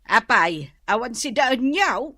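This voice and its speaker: A woman's voice: on the high side, big pitch swings, takes it slowly, bright, forceful tone, no room sound, clean studio sound, heavily acted. A nagging elderly mother. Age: older. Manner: scolding, nagging. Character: elderly mother, a scolding senior citizen. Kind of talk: radio drama acting.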